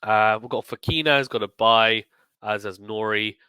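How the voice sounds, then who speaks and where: A male sports host with a bright, forward voice and a close, dry sound. He talks in a chatty, emphatic way, thinking aloud through his commentary, with a slight croak from a recent illness. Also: fairly low pitch, moderate pace, no room sound. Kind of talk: casual tournament preview commentary.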